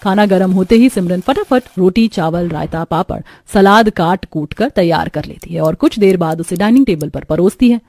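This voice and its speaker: A woman reading scripted narration for an animated moral story. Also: on the low side, normal speed, few pauses, no echo.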